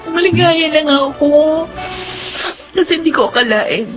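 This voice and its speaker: An actress playing an emotional, happy young bride-to-be in a Filipino radio drama. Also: female voice, sits high, animated, moderate pace. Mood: tearful happiness. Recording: dull lo-fi sound under music.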